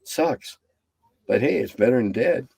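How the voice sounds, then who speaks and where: A man's voice: animated, measured pace, vocal fry, breathy edge, no room sound, clean studio sound. A man in his seventies, a game designer chatting bluntly and ramblingly as an interview guest. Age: 70s. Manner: blunt, rambling, drops low and quiet as he winds down. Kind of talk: casual interview chat.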